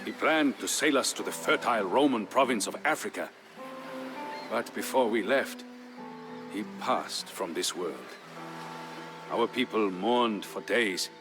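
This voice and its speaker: An actor playing a solemn Visigothic warrior chieftain, telling his people's history as measured, theatrical narration for a strategy game. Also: lowish voice, animated. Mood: somber, brooding. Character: grave Visigothic warrior chieftain, the dead king's brother-in-law, recounting history. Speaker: story narrator. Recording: narration over prominent music.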